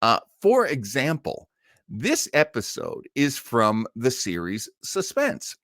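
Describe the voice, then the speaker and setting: A man's voice: mid-range voice, huge pitch swings, slow, a bit bright, no room sound, studio quality. A man hosting a casual, chatty livestream about old radio shows, rambling and easily sidetracked as he talks. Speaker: livestream host of an old-time radio listening show.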